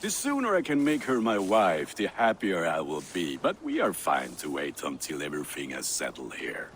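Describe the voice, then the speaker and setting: A man playing a warm-hearted, sentimental Viking blacksmith in a video game, speaking earnestly with halting tenderness. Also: sits low, wildly swooping pitch, slight background noise, heavily acted. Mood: sincere, humble. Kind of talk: dramatic game dialogue acting.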